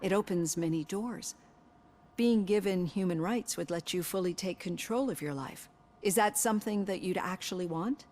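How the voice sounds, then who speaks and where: An actress playing a composed, analytical psychologist questioning a patient in video game dialogue. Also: female voice, lowish voice, moderate pace, a little mellow, lightly acted. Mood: measured, probing. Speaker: therapist.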